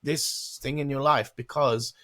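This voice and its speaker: A man's voice: mid-range voice, steady tone, unhurried, a bit bright. Man co-hosting a conversational podcast discussion. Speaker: podcast co-host.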